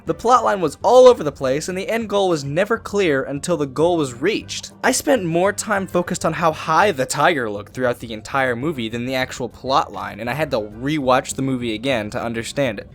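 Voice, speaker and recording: Young man, sarcastic reviewer narration, lively inflection, soft background music. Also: fairly high pitch, a little fast, hardly any pauses. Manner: sarcastic, mocking. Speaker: video reviewer. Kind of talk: scripted comedic review narration.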